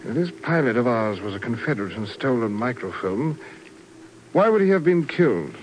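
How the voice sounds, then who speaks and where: An actor playing a genteel, puzzled titled gentleman in 1950s radio drama, courteous and somewhat blustering. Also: medium pitch, sing-song, normal speed, natural voice, poor audio. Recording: hissy old radio broadcast.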